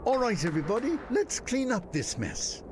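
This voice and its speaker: High-voiced, fussy, flustered chef. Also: male voice, huge pitch swings, moderate pace, slight background noise, exaggerated voice. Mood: fussy, eager. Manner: hesitant, flustered, dramatic. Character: nervous, fussy, emotional male chef in cartoon game. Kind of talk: cartoon video game acting.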